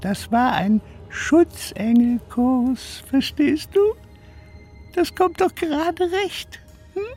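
Casting: harmless, self-important ghost sidekick for a kids' radio play, very high voice, slow theatrical drawl. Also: female voice, lively intonation, mellow, faint music behind, full character voice. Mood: reassuring. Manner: theatrical, plaintive, drawn-out delivery. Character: good-natured, vain, harmless little ghost, loyal sidekick. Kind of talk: children's radio play acting.

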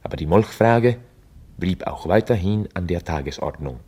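An actor playing a formal chronicler, narrating a scripted radio drama in a documentary manner. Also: male voice, low voice, animated, moderate pace, mellow, breathy edge. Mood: measured, dry. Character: formal chronicler recounting a history.

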